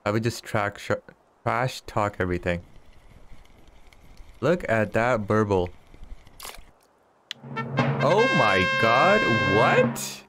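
A man's voice: on the low side, very animated, slow pace, pauses often, noisy background. A man in his early thirties streaming a fishing game, chatting and teasing his viewers with frequent chuckles. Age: 30s. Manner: chuckles often, teasing banter with chat. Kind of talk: casual live-stream chat while gaming.

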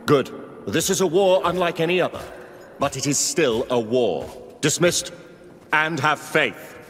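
A man's voice: fairly high pitch, animated, unhurried, a bit bright. A man playing a war-weary, commanding lord in a video game, grave and resolute. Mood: commanding, urgent. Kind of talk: video game cutscene acting. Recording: reverberant hall with faint ambient noise.

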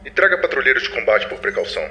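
A man's voice: medium pitch, big pitch swings, racing, pushed and bright, highs cut off, faint music behind, heavily acted. A man playing a composed, serious counselor in a sci-fi audio drama, formal and matter-of-fact.

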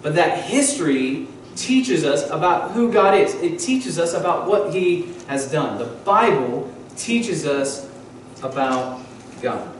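A man's voice: on the high side, lively intonation, slow pace, a little hiss or hum. A man in his thirties, a pastor preaching a teaching sermon from a pulpit and asking the congregation questions. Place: church sanctuary, at a wooden pulpit. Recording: echoey church hall from far off.

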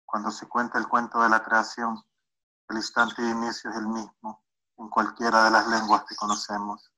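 A man's voice: lowish voice, completely flat delivery, normal speed, pushed and bright, low-quality recording. A man reading his own poem aloud, played back as a recording. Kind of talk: poetry reading.

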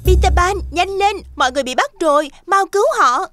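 A woman's voice: very high-pitched, animated, a little fast, sharp tone, no echo, clean studio sound, faint music behind, heavily acted. A woman voicing a small, emotional young fairy in a dubbed children's cartoon. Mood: urgent.

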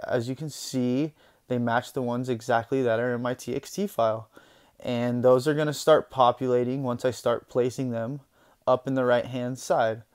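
A young man hosting a software tutorial, talking casually over his screen recording and often saying "boom" and "guys". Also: clear, resonant tone. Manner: relaxed, conversational, says "boom" and "guys" often.

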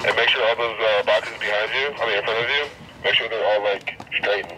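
A man posing as a boss over a walkie-talkie radio, giving curt, demanding orders in a deadpan way. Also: lowish voice, brisk, poor audio. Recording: walkie-talkie speaker amid loud background noise.

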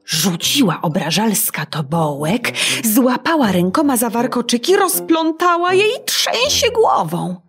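Slow, expressive woman reading a children's tale. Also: a little high, over music. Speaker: storyteller.

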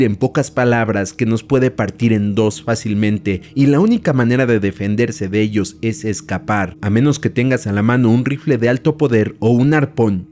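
Male host for scripted explainer narration, mid-range voice with a soft, dark tone. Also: mid-range voice.

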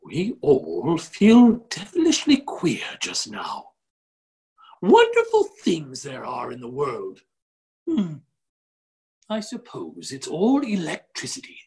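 Swooping, slow, low-voiced bumbling aristocrat acted by a woman. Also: huge pitch swings, darkish tone, heavily acted. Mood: genial. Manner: rambling, repeating words. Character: bumbling, absent-minded, good-natured duke. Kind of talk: online play reading.

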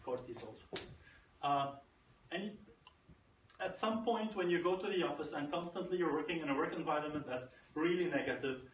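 Conference speaker, male, 30s, emphatic, slightly high voice, recorded from afar in a roomy hall. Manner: emphatic, repeating phrases for effect. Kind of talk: motivational tech talk. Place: conference room. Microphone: far camera. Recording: echoey room, far from camera, faint noise.

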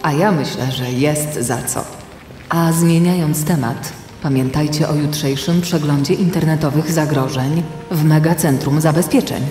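A kindly female mentor to young heroes in a radio play. Her voice is low and soft-toned, washed in long echoing reverb. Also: measured pace, faint music behind. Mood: warm, encouraging. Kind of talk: children's radio play acting.

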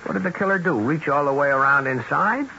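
An actor playing a skeptical, terse police sergeant in a 1970s radio drama, clipped and matter-of-fact. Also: male voice, on the high side, brisk, sharp tone. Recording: dull, noisy old radio broadcast.

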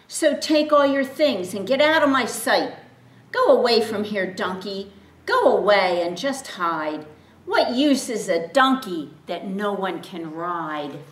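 A woman's voice: medium pitch, expressive, slow pace. A woman voicing a mean, tough male donkey owner who scolds in a raised voice. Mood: angry. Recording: roomy, distant mic.

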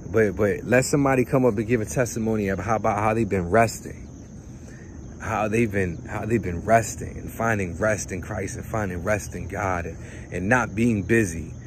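A man's voice: lowish voice, normal speed, noisy background. Man preaching outdoors, crickets behind. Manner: conversational preaching, repeats words, animated hand gestures. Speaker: pastor. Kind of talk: outdoor sermon. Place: outdoors by a lake at dawn.

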